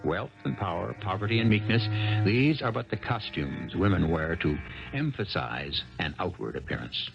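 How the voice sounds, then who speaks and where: A man hosting a radio drama, narrating in a measured, wry storyteller manner. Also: sits low, animated, gravelly. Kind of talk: scripted narration. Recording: dull lo-fi 1970s radio broadcast with music.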